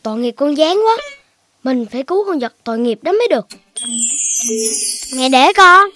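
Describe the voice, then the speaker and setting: A performer voicing an excitable young puppy boy in a children's cartoon, talking in a childlike, exclamatory way. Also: sits high, normal speed, over music. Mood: earnest. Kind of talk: animated children's cartoon dubbing.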